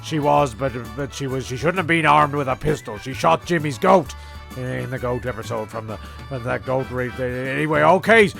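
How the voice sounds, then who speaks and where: A man's voice: mid-range voice, moderate pace, gravelly, music in the background. A man narrating a comedy radio play in a hesitant, rambling way, often repeating himself.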